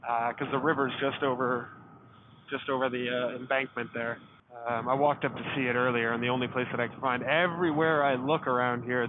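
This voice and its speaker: A man with a light Southern US drawl talking to camera outdoors in a rambling, casual way and cursing freely. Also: medium pitch. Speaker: motorcycle travel vlogger. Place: outdoors near a levee. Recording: tinny phone-like sound with loud background noise.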